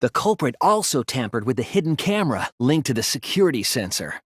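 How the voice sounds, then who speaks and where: A man voicing an earnest, soft-spoken teenage detective in a video game, reasoning carefully through a case. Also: mid-range voice, sing-song, fairly quick, slightly rough, no echo. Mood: analytical. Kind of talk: video game voice acting, deductive exposition.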